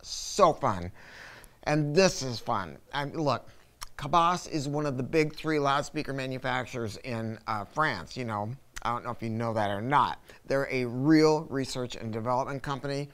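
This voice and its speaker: A man in his sixties with a medium-pitched voice hosts a product review. He talks casually and with emphasis, dropping in chatty asides as he goes. The delivery is enthusiastic and conversational. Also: normal speed, a bit bright, clear, resonant tone. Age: 60s. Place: carpeted audio showroom studio with acoustic panels, indoors.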